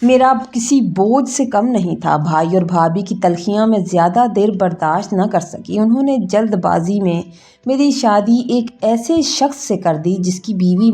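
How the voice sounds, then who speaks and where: A woman's voice: medium pitch, warm tone. A woman reading a scripted, emotional first-person story as its narrator. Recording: music bed behind narration. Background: background music.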